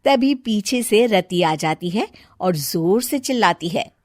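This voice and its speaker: A woman narrates a scripted story. Her mid-pitched voice moves in lively swings, and the recording is very dry and close. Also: slow, dry recording.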